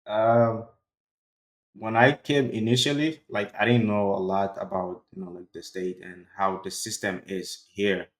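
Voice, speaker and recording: Laid-back young man from Sudan, low voice. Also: a little slow, poor audio. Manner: relaxed, frequent "like" and "bro". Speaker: guest, cloud computing worker. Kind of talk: casual interview answers.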